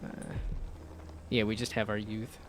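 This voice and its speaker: A man's voice: lowish voice, monotone, measured pace, slight background noise. A young-sounding man with a slightly nasal voice, chatting casually and rambling while he streams a game. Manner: laid-back, rambling with restarts. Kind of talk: casual gameplay chat.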